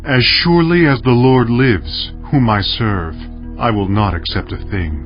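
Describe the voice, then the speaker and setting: An actor playing an authoritative prophet in scripture audio drama, solemn and declamatory. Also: male voice, mid-range voice, a little slow, close to an everyday voice. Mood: solemn, firm. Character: authoritative, commanding Hebrew prophet, man of God. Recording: dull lo-fi, music underneath.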